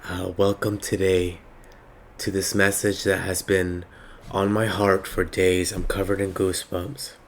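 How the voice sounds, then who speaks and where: Slow, low-voiced raspy man. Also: level tone, slight background noise. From Palestinian heritage. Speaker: spiritual reader. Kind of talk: slow reflective spiritual monologue.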